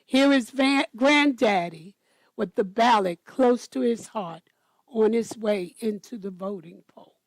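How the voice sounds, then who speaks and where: A woman storyteller reading a children's picture book aloud in a deliberate manner. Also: on the high side, dry recording.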